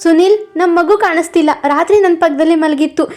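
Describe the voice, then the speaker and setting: A woman's voice: very high-pitched, brisk, darkish tone, soft background music, voice pushed up. Woman voicing an anxious young cartoon mother. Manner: anxious, urgent. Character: young mother, anxious over lost baby.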